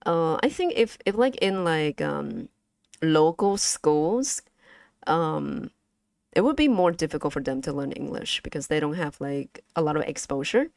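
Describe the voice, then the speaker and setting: Low-voiced woman with a Vietnamese accent. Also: expressive, a little mellow, no echo, clean studio sound. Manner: halting, slow and careful, with frequent fillers. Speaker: vtuber streamer teaching language. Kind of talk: casual language lesson to chat.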